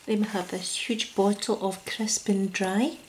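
A Scottish woman speaking ASMR in a soft, gentle whisper close to the mic, at a table indoors. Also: mid-range voice, steady tone, unhurried, slight background noise. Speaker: ASMR creator.

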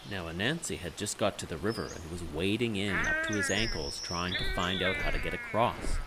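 A man narrating a children's story in an expressive, theatrical way. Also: deep voice, a little fast, noisy background. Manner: expressive, dramatic delivery. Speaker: storyteller, puppet show narrator.

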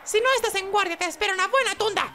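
A man's voice: extremely high pitch, rapid-fire, sharp tone, soft background music, pitched above the performer's own voice, full character voice. A man voicing a cocky young video game trainer who issues warnings.

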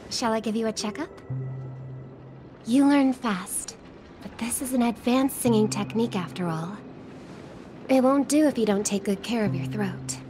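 A woman playing a gentle, polite young singer in a video game, speaking softly and with measured care. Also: fairly high pitch, natural voice. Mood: kindly, reassuring. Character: gentle young female pop singer, caring, polite, thoughtful. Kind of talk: video game story acting.

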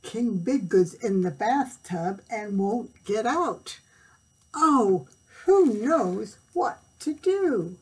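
A woman reading a storybook, voicing a frantic young boy page who cries out for help in a high, loud, drawn-out plea. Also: little pitch movement, slightly rough.

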